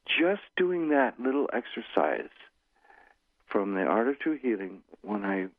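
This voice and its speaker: A man in his sixties, a guest author speaking calmly and leading a slow guided meditation. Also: on the low side, dry recording. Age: 60s. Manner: slow, soothing guiding voice. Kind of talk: guided meditation and interview talk. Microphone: phone call. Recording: tinny phone line.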